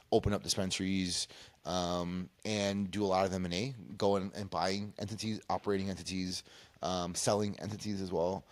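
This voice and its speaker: A man from Peru speaking as an interview guest, casually recounting his career in a halting way, often circling back to rephrase. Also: low-pitched, dry recording. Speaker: interview guest, cannabis industry consultant.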